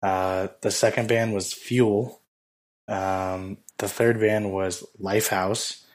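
A man co-hosting a podcast in casual chat, hoarse from losing his voice, rambling with many fillers. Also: fairly low pitch, fairly even intonation, slow. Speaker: podcast co-host.

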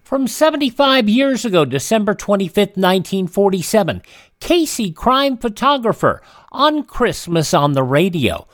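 A man's voice: high voice, big pitch swings, normal speed, fairly bright tone, dry recording, clean studio sound. A man hosting a radio program, announcing from a script.

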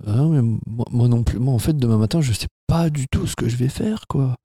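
A man's voice: medium pitch, brisk, mellow, breathy edge, no room sound, studio quality, close to an everyday voice. A man improvising a retiring company boss of about sixty, touched and hesitant, who stammers and repeats his words in a comedy sketch.

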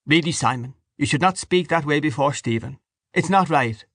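A man's voice: a little high, normal speed, fairly bright tone, no room sound, studio quality, pitched above the performer's own voice. A man voicing a distressed wife and mother in an audiobook novel, reproving and pleading. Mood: reproachful.

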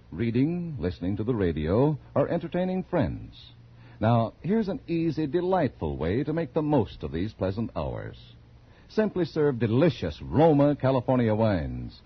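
A man working as a commercial announcer, reading a 1940s radio advertisement in a husky voice. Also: mid-range voice. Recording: muffled 1940s radio broadcast.

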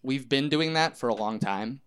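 A man's voice: mid-range voice, normal speed, a bit bright, clear, resonant tone. A man chatting casually in an interview as a member of a band. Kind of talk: casual chat.

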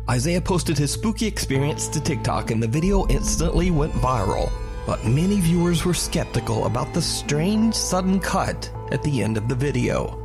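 A man's voice: a little high, sing-song, moderate pace. A man reading scripted narration for a spooky countdown video, presenting each case and addressing viewers. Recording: played video with music bed. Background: music.

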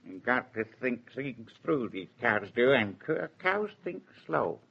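An actor playing a plainspoken, unsentimental mature farmer with a rural American accent, drawling drily in a 1940s radio drama. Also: male voice, mid-range voice, big pitch swings, measured pace, gravelly, slightly rough, full character voice. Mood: patient, explaining. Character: laconic, unsentimental rural farmer, a mature man selling his cow. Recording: dull vintage 1940s radio recording.

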